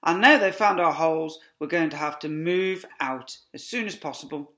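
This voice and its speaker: A reader voicing Mister Fox, a brave, quick-thinking male fox who leads his family, speaking with urgent, commanding shouts in a storybook reading. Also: medium pitch, pitched above the performer's own voice, natural voice. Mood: worried.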